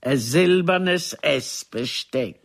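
An actress playing a brash, sharp-tongued stage diva in a radio play, blunt and theatrical in her delivery. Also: low-pitched, animated, very slow, creaky, exaggerated voice. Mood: theatrical joke-telling. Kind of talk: German radio play acting.

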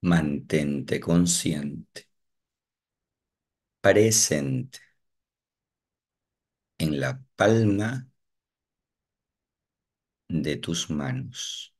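A man guiding a meditation, giving slow, calm instructions with long pauses. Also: rumbling low. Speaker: meditation guide. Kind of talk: guided meditation.

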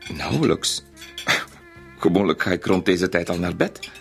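An actor playing a timid, naive bank bookkeeper in a radio play, speaking politely and hesitantly with a Flemish accent. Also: male voice, deep voice, lively intonation, unhurried, vocal fry, soft background music, exaggerated voice. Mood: hesitant, content. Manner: hesitant, polite, self-effacing. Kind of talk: radio drama acting.

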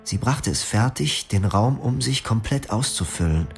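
An actor narrating as a young former psychiatric patient, in a quiet, reflective, melancholic tone in a radio play. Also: male voice, mid-range voice, lively intonation, moderate pace, gravelly. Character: young male patient recalling his clinic past. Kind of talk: first-person radio drama narration.

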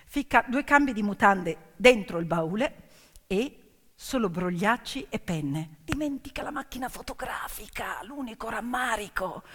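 A woman storyteller performing a theatrical narration with emphatic delivery and deliberate dramatic pauses. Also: on the high side, lively intonation, breathy edge. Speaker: stage storyteller and actress. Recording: piano music behind the voice. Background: piano accompaniment.

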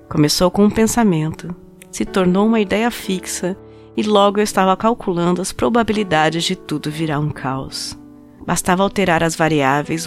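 A somewhat low female voice tells a confession over soft music as a woman acts it out. She is an obsessive, coldly rational scientist in an audio drama. Her storytelling is deliberate and intense. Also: lightly acted. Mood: obsessive, brooding. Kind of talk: dramatized first-person audio story narration.

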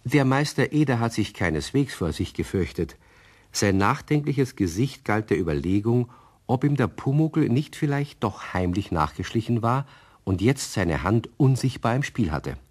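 A man narrating a children's radio play as a calm, explaining storyteller with a husky voice. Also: medium pitch, moderate pace. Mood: measured, explanatory.

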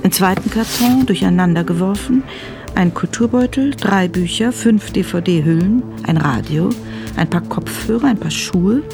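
A woman reads scripted radio drama narration in a sober, precise way. Her voice is medium in pitch, with music clearly playing behind it. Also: lively intonation, a little slow. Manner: sober, precise present-tense telling.

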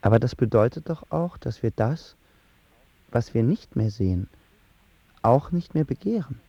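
A man's voice: mid-range voice, lively intonation, measured pace, breathy edge, no echo, dull audio. An actor playing a heartbroken, sulky young man who tells his story in a slangy, wry, self-pitying way for a radio drama. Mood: sullen, brooding. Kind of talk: radio drama narration, colloquial and confessional.

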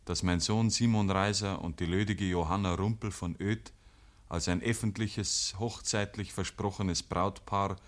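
A man narrating a rural tale in a scripted literary radio-play style, as a measured storyteller. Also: deep voice, steady tone.

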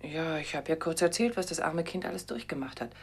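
An actress playing a brisk, capable, reassuring sleuth's wife in a 1950s German radio play, chatty and assured. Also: female voice, sits low, husky.

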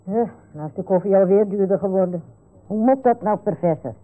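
An actress playing a prim, grumbling housekeeper in a radio comedy serial, exclaiming in a fussy, indignant way. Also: female voice, mid-range voice, a little fast, close to an everyday voice. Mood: complaining. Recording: tinny narrow-band radio recording, noisy outdoor ambience.

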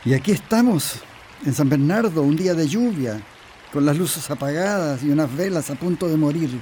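A man playing a gently ironic husband in a radio drama. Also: a little high, animated, normal speed, slight background noise, lightly acted. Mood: wry, affectionate.

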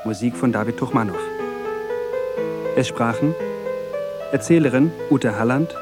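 Man, radio announcer, mid pitch, formal scripted delivery, credit reading, music underneath. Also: measured pace. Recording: music behind the voice.

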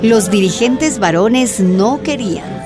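A woman's voice: a little high, lively intonation, takes it slowly, music in the background. A woman narrating a scripted radio drama.

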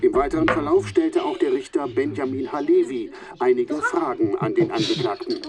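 An actor playing a formal radio reporter who narrates a trial report in a measured, descriptive way. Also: male voice, mid-range voice, a crowd in the background, natural voice. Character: male radio reporter covering a war-crimes trial.

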